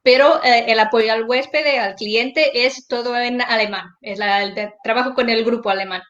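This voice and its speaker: A woman's voice: fairly high pitch, fairly even intonation, moderate pace, a bit bright, dull audio. A woman working as a training manager, chatting on a video call and laughing easily. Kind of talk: casual video call chat.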